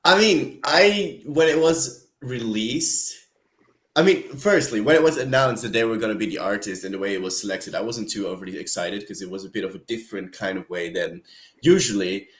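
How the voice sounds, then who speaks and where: A relaxed man from the Netherlands chats as a panelist on a video call. His voice sits low and rings out in a roomy, echoey space. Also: moderate pace. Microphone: headphones on a video call.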